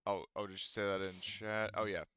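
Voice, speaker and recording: A man working as game master, narrating a tabletop roleplaying game in a casual, halting way. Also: on the low side, flat delivery, fairly bright tone, clean tone, no echo. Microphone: online voice chat microphone. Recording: dull, dry online voice chat.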